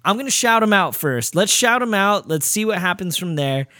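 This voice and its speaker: A male podcast host with a fairly high-pitched voice, recorded dry with little room sound. He chats in slang, excitable and informal, bantering through a casual interview. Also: moderate pace, no room sound.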